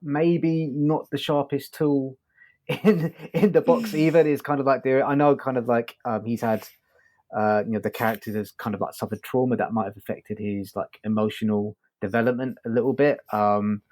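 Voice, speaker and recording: A man co-hosting a podcast, chatting casually about a review and thinking aloud in a halting way. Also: medium pitch, fairly even intonation, a little mellow. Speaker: podcast co-host reviewing audio drama.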